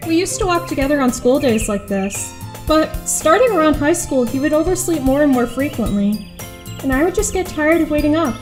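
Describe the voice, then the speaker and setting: A woman playing a reluctant, exasperated high school girl in an audio drama, with dry, grudging first-person narration and dialogue. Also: expressive, brisk, a little mellow, faint music behind. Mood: wry, resigned.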